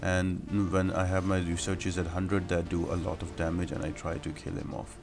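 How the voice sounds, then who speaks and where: Man, low pitch, narrow intonation, instructional game walkthrough commentary, explanatory delivery. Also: completely flat delivery, normal speed. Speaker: gaming guide host.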